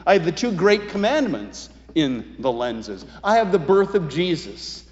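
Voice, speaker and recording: A man preaching a sermon as a pastor, speaking in a reflective, deliberate way with emphatic pauses. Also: on the high side. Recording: reverberant hall, slightly dull sound.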